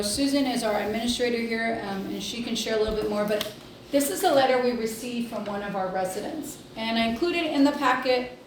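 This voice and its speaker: Echoey, higher-pitched woman, halting delivery. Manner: hesitant, halting delivery with long pauses. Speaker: healthcare district executive reporting to board. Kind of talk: informal spoken report at board meeting. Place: indoor public meeting room. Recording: echoey room, distant mic, faint noise.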